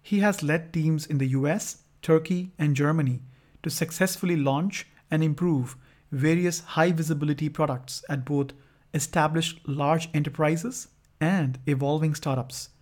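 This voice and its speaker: Higher-voiced male podcast host, polite. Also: steady tone, moderate pace, a little mellow. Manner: polite, formal phrasing. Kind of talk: reading a guest introduction, asking questions.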